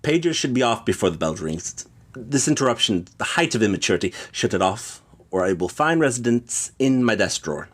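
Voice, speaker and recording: A man acts out a pompous male schoolteacher for an audio drama. His low, husky voice swings widely in pitch as he lectures with fussy, pedantic diction. He is self-important and easily irritated. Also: sing-song, a little fast. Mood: stern, annoyed.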